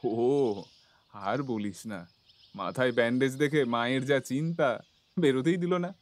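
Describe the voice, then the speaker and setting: An actor playing a mild, polite young city doctor in an audio story, speaking in a gentle, conversational way. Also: male voice, medium pitch, measured pace, close to an everyday voice. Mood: casual, reflective.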